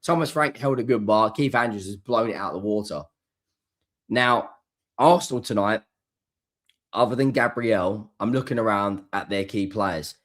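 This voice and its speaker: A hoarse man hosts a solo football reaction, talking emphatically and often repeating key words for stress. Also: fairly low pitch, normal speed, fairly bright tone. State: hoarse, coming down with flu. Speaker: football channel host. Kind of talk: solo post-match reaction.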